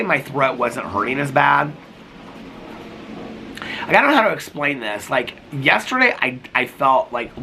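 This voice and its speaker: A middle-aged man sits outside and chats into his vlog, rambling with many "like"s and restarts. He is sick, with a cough and a fading voice, yet his tone stays bright and forceful. Faint background noise sits under the talk. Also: medium pitch. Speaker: vlogger. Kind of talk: casual vlog chat. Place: sitting outdoors.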